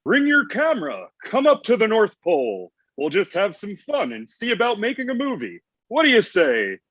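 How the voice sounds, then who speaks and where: A man acts out a bro-talking Santa Claus for a comedy script reading. The voice is high and husky, with big swings in pitch and a casual, gruff edge. The sound is a little dull and lo-fi. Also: huge pitch swings, moderate pace, a bit bright, exaggerated voice. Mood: friendly. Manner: casual slangy delivery. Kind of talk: comedy script table read. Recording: dull lo-fi online call audio.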